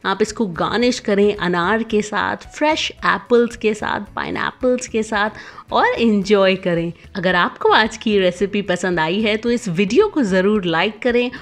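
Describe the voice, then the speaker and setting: A woman, host of a cooking channel, narrating a recipe voice-over with clear step-by-step instructions. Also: medium pitch, unhurried.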